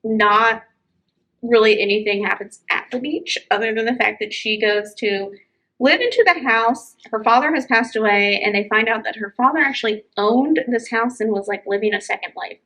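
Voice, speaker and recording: A woman's voice: on the high side, normal speed, fairly bright tone, low-quality recording. A woman hosting a book chat, talking informally and enthusiastically as she gives recommendations. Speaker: book show host.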